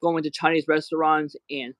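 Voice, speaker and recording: A man's voice: a little high, flat delivery, moderate pace, a bit bright, dry recording. A man hosting a livestream who talks in an angry rant, often repeating words and restarting his sentences. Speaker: livestream host.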